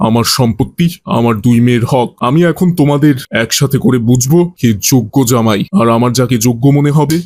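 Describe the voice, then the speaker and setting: A man playing a stern, dignified father-in-law, an older wealthy patriarch, speaking gravely and deliberately in a moral cartoon. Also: medium pitch, fairly even intonation, a little mellow, close to an everyday voice. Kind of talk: Bengali moral cartoon voice acting.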